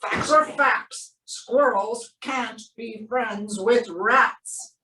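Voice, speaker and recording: A woman reading aloud to children in an expressive storybook style, drawing out key words for emphasis. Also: a little high, drawn-out words, background noise. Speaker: animal shelter presenter reading a picture book. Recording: roomy, distant microphone.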